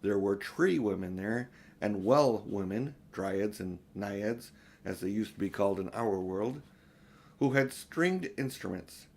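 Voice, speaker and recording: A man's voice: fairly low pitch, unhurried, a little mellow, slight background noise. An older man reading a children's storybook aloud in an informal, unpolished way. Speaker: audiobook reader.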